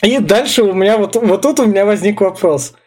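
A man's voice: high voice, fairly quick, darkish tone, slightly rough. A man chatting casually and colloquially about a film as a podcast co-host.

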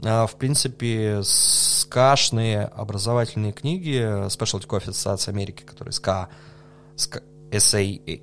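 Low gravelly-voiced man, hesitant. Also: normal speed, a little hiss or hum. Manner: thoughtful, hesitant with many fillers, laughs softly. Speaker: podcast guest, coffee judge. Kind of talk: relaxed conversational interview.

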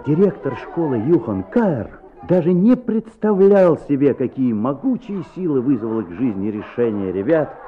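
A man narrating a radio play as an expressive storyteller, in scripted storytelling style. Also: mid-range voice, normal speed, few pauses, some chatter behind, lightly acted. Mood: lively storytelling. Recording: tinny old radio recording, voices behind.

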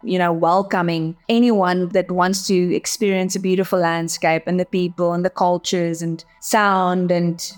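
A woman's voice: fairly low pitch, flat delivery, measured pace, few pauses, soft background music. A woman from Namibia with an Afrikaans accent, talking earnestly in an interview as an agency director, often repeating words as she thinks. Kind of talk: interview conversation.

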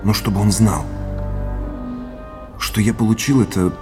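An actor playing a sincere, grateful heir in an audio drama, speaking in measured, earnest short phrases. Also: male voice, fairly low pitch, steady tone, over music, lightly acted. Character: Oleg, sincere grateful heir to a family legacy.

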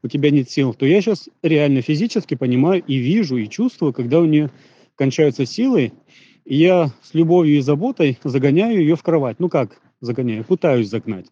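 A man's voice: fairly high pitch, animated, moderate pace, warm tone, slightly rough, highs cut off. A man giving an earnest, reflective personal testimony as a consultant, listing his ideas in short phrases. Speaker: Human Design consultant.

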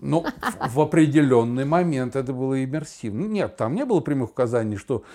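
Older male podcast host, mid-pitched, conversational raconteur with a theatrical, wandering delivery. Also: expressive, fairly quick, dark tone. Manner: theatrical, digressive, illustrates with sound imitations and examples. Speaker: podcast host, father talking with his daughter. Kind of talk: casual podcast conversation, storytelling.

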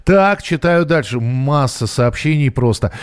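A man's voice: medium pitch, lively intonation, a little slow, clear, resonant tone. A man hosting a radio show, chatting casually and reading out messages, thinking aloud as he goes.

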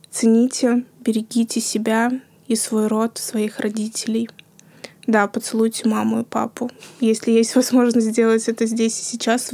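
Earnest woman with a flat, gravelly voice. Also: fairly high pitch. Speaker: podcast guest. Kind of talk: reflective podcast conversation.

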